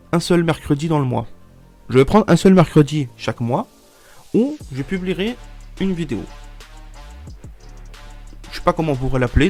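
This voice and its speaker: A man's voice: medium pitch, a little mellow. A man in his twenties, stuffy from a cold, talking casually to his viewers in a rambling way full of fillers and restarts. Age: 20s. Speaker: YouTuber. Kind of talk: casual vlog talk to viewers.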